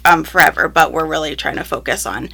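A young woman with a bright, sharp tone and a fairly low pitch answers interview questions in an upbeat, casual way. Her answers are peppered with ums and likes. She grew up speaking Spanish and English side by side. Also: a little fast, creaky, breathy edge. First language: Spanish, alongside English. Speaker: first grade teacher, interviewee.

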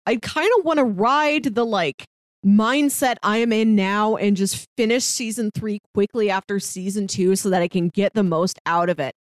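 A woman co-hosting a podcast, chatting casually in an expressive, very informal way. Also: mid-range voice, moderate pace, dry recording, clean studio sound. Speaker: podcast co-host.

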